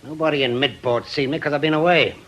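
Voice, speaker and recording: A man's voice: on the high side, steady tone, quick pace, fairly bright tone, highs cut off, close to an everyday voice. An actor playing an obsessive, cantankerous veteran ex-policeman in a British radio drama, brusque, scornful and insistent.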